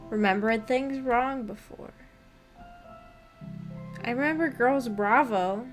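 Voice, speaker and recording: A young woman with a fairly high voice hosts a casual livestream. She rambles along in a giggly, self-deprecating manner, talking to her viewers. Soft music plays behind her. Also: takes it slowly. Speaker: livestream host.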